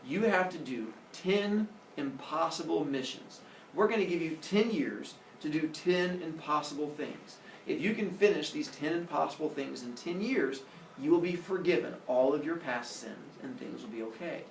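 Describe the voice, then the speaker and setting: A man's voice: a little high, moderate pace, gravelly, reverberant, distant mic, slight background noise, poor audio. A man voicing an exasperated, fatherly Greek god who talks in a colloquial, matter-of-fact way.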